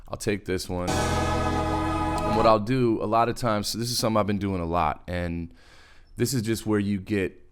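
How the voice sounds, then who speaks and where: A man's voice: lowish voice, fairly even intonation, normal speed. A man giving a casual music production tutorial in laid-back slang, rambling off into asides as he goes. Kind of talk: casual screen-recorded tutorial. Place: indoors, voice-over on a screen recording. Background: music from the software.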